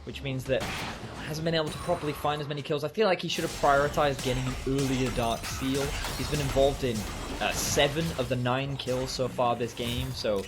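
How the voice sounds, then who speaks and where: A man in the middle of his range calmly breaks down a match on a live esports broadcast. He talks in an analytical, easygoing way, and loud game music plays under his voice. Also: medium pitch, brisk. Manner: analytical, relaxed, jokey banter. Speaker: esports color caster.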